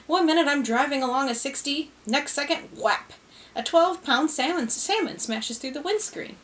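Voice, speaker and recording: A woman's voice: high-pitched, unhurried, voice pushed up. A woman doing an indignant lorry driver. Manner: indignant, excitable. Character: flustered lorry driver, working-class man.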